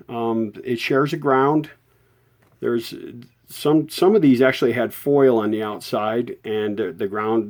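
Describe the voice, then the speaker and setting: Mellow-voiced man giving a halting hands-on tutorial. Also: medium pitch. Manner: halting, self-correcting, informal. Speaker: host of a vintage radio repair tutorial. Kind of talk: unscripted hands-on technical explanation.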